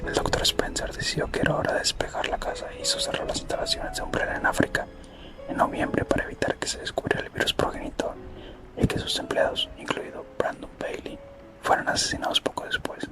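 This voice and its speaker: A man reads scripted ASMR narration in a hushed, breathy, unvoiced whisper, steady throughout. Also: extremely high pitch, brisk. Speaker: ASMR channel host. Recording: whisper over background music. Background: music.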